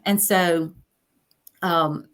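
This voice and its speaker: A woman's voice: lowish voice, fairly even intonation, very slow. A woman in her fifties, a coach, talking about her experience as an interview guest in a chatty way and repeating phrases for emphasis. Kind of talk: casual interview storytelling.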